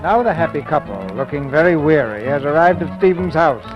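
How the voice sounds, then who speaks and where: A man's voice: on the high side, expressive, fairly quick, over music. A man narrating a scripted radio drama as announcer, in 1940s broadcast style. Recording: muffled old radio broadcast.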